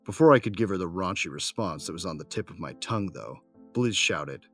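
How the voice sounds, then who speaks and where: A man's voice: deep voice, animated, brisk, a bit bright, faint music behind. A man playing a wry, affectionate armored warrior who narrates an audio drama with dry humor. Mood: wry watchfulness.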